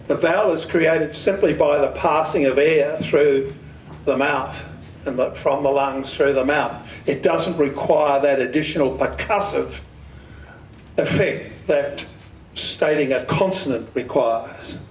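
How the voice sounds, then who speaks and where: Older male lecturer, deliberate and questioning, slightly raised pitch, recorded at a distance from the microphone. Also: a little hiss or hum. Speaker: speaker giving an amateur radio talk. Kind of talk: instructional lecture with questions to the audience. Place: community centre meeting room. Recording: echoey hall, distant microphone.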